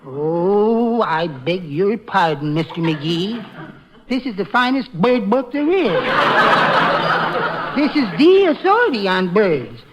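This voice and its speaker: An actor playing a timid, fussy henpecked husband with a mild, prim, hesitant manner in 1940s radio comedy style. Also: male voice, high-pitched, sing-song, slow, a crowd in the background, heavily acted. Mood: earnest, fussy. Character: meek, timid henpecked little husband, fussy bird lover. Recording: noisy, dull old radio recording.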